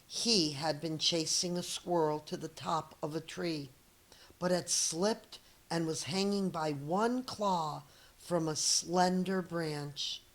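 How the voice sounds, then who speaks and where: A middle-aged woman reads a children's story aloud like a schoolteacher. Her voice sits a little low, and she takes her time with deliberate, expressive phrasing. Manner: slow, deliberate, acts out dialogue.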